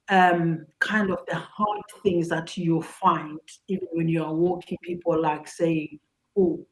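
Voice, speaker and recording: A woman's voice: fairly low pitch, poor audio. A middle-aged woman with a Zimbabwean accent, giving earnest personal advice as a talk show guest, often repeating words as she searches for phrasing.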